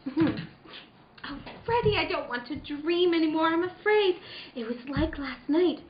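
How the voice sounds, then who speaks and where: Woman acting a very high-voiced, frightened wife. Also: expressive, moderate pace. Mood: fearful, pleading. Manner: tearful, whimpering, breathless pleading. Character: frightened young wife Susan, tormented by nightmares. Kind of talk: hammy old-time radio melodrama acting. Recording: roomy, dull recording with crowd noise.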